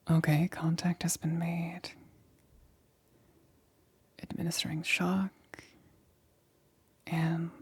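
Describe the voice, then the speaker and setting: A woman playing a nervous, methodical lab assistant in a whispered ASMR roleplay, speaking haltingly in a breathy whisper. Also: deep voice, darkish tone, full character voice. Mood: focused, tentative. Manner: hesitant, halting, repeats reassurances.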